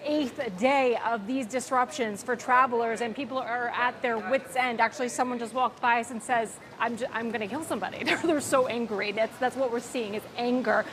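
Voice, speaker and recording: A woman's voice: on the high side, moderate pace, few pauses, crowd noise. A woman working as a field reporter, giving a live news report from an airport. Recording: noisy airport location sound. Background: clear airport ambient noise.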